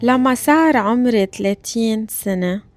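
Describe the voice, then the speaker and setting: A woman in her thirties from Lebanon, with a Lebanese Arabic accent, hosting a language-learning podcast. She talks slowly and deliberately, repeats phrases and checks in with her listeners. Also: on the high side, a little mellow, no echo, faint music behind. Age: 30s. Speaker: Arabic teacher and podcast host. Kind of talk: slow, clear podcast lesson for learners.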